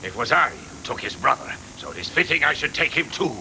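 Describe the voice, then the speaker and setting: A man's voice: big pitch swings, brisk, sharp tone, heavily acted. A man voicing a ruthless, gloating hunter-warrior villain in an anime dub, taunting in formal, theatrical phrases. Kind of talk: dubbed anime voice acting. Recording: noisy old VHS tape, rumbling effects.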